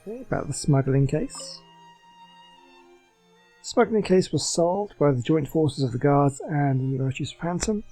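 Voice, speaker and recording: A man's voice: on the high side, animated, moderate pace, dark tone, faint music behind, poor audio. A man doing a casual video game playthrough, reading in-game text aloud.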